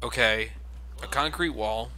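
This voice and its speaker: A man with a sharp, very bright voice talks casually over gameplay. He sounds sarcastic and mocking, with a faint noise behind him. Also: mid-range voice, a little slow. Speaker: gaming video commentator. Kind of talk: casual let's play commentary.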